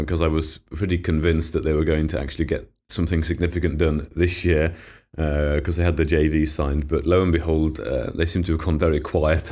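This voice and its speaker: A man hosting an investment podcast, chatty and laughing easily, his voice deepened by a developing cold. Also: darkish tone. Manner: chatty, laughs often, loose with fillers. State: slightly unwell, deep voice. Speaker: podcast host. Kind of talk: casual investment podcast chat.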